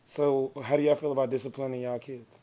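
A man with a raspy voice, co-hosting a podcast and joking in loose, slangy casual chat. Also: mid-range voice, level tone, brisk, dark tone, poor audio. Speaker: podcast co-host.

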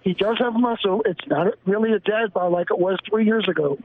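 High-voiced guy calling in, earnest, tinny phone line w/ hiss. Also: brisk. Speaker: caller. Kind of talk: calling in to a sports radio show.

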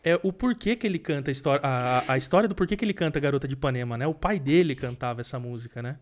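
A man with a Brazilian Portuguese accent hosting a podcast, chatting warmly, laughing often and joking with his guest. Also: mid-range voice, expressive, very fast. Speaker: podcast host. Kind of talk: casual interview chat.

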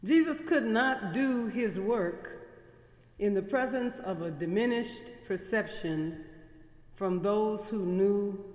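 A woman's voice: mid-range voice, slow, darkish tone. A middle-aged woman pastor preaching a sermon, mixing conversational stories with emphatic, repeated phrases, from a pulpit mic in a large church. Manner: conversational storytelling, building emphatic repetition. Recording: reverberant church hall.

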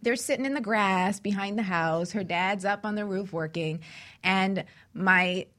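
A woman's voice: medium pitch, unhurried, fairly bright tone. A middle-aged woman hosting a talk show, chatting and telling stories casually and affectionately.

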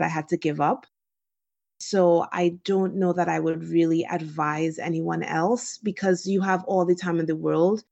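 A woman from Trinidad and Tobago answers as a podcast guest. Her voice is fairly low with plenty of vocal fry, and she talks informally and reflectively, posing questions and answering them. The call audio is dull and lo-fi. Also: darkish tone. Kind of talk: conversational interview answers.

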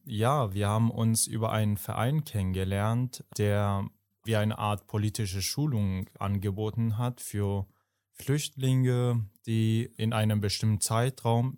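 Podcast co-host, male, slow and measured, a bit low, dry room. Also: a little mellow, smooth, no room sound. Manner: measured and deliberate, stretching words. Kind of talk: podcast conversation, partly reading a quote aloud.

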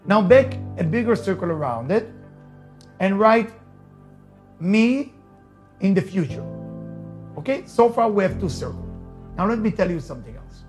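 A man's voice: high voice, very animated, moderate pace, long pauses, a little mellow, faint music behind. A middle-aged man from Israel with an Israeli accent, a native Hebrew speaker, giving an emphatic, repetitive motivational talk.

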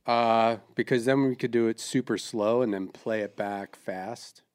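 A man's voice: mid-range voice, fairly even intonation, measured pace, clear, resonant tone. A man hosting a comedic podcast, taking charge and steering the banter with his guests. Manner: bossy, steers the conversation. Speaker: podcast host.

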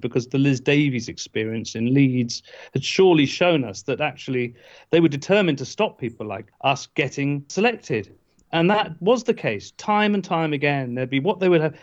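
A man, a guest in a casual interview, tells anecdotes with halting restarts and wry asides. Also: on the high side. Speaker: guest, former parliamentary candidate. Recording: dull lo-fi sound.

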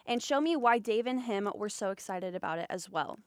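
A woman hosting a podcast, reading scripted narration between the interview segments in a clear, even way. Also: mid-range voice, a little fast, no room sound, clean studio sound. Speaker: podcast host.

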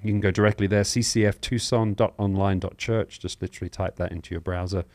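Low-voiced man hosting a live show. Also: steady tone, quick pace, darkish tone. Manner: welcoming, informal, explanatory. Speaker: host of a live Bible question show. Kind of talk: chatty live broadcast hosting, announcements.

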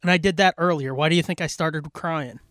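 A man's voice: on the high side, brisk, a bit bright, no room sound, clean studio sound. A man co-hosting a podcast in casual comic banter, crude, profane and joking. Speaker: podcast co-host.

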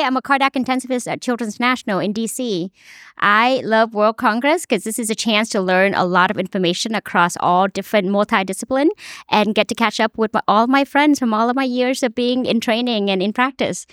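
Female doctor interviewee, fairly high voice, dry close sound, casual self-introduction. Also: fairly quick, a bit bright, no echo.

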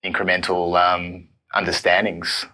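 A man's voice: deep voice, level tone, measured pace, bright, forceful tone. An Australian man in his thirties hosting a casual, conversational interview. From Melbourne, Australia. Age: 30s. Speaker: podcast host.

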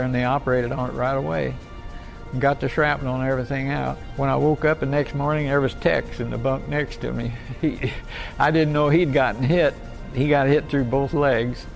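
A man playing an American war veteran, halting and plain-spoken, reminiscing conversationally in a radio drama. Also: medium pitch, creaky, lightly acted. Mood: matter-of-fact. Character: American WW2 veteran plainly recalling his war memories.

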